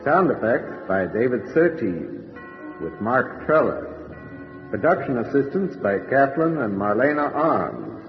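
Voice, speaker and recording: An actor playing a macabre, gleeful radio horror host who relishes puns, with a theatrical, sardonic delivery. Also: male voice, medium pitch, wildly swooping pitch, unhurried, soft background music. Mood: ominous, formal. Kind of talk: old-time radio horror narration. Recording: muffled, heavily reverberant radio recording.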